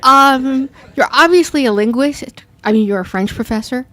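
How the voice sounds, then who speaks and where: A woman's voice: high voice, moderate pace, fairly bright tone. A woman speaking informally and conversationally as an audience member putting questions to a speaker. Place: bookstore event.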